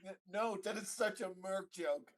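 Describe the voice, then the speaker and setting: A man chatting casually as a player in a tabletop game, halting and jokey. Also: sits high.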